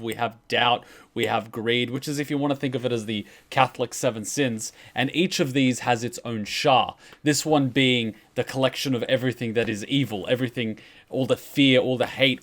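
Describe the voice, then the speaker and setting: A man's voice: medium pitch, fairly bright tone. A man explaining game lore in a casual, unscripted commentary.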